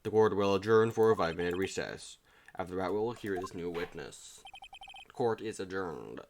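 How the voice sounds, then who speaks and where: A streamer voicing a formal, deliberate old male judge in a courtroom video game. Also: sits low, a little hiss or hum, voice pushed down. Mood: authoritative.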